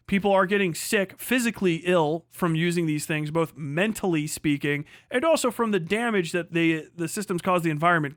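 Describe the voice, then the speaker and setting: A man with a notably high voice delivers off-the-cuff livestream commentary in a close, dry sound. He rants combatively, swears freely and hammers points home by repeating them. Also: a bit bright, dry recording. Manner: profane, combative, ranting; emphatic repetition of phrases. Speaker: livestreamer reacting to a video.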